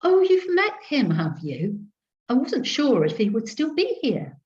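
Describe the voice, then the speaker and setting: A woman's voice: sits high, huge pitch swings, unhurried, a little mellow, voice pushed up, full character voice. Woman storyteller as a teasing psychic woman, lifted voice. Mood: bright, keen. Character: confident, teasing woman psychic with dry wit. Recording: dull lo-fi video call audio.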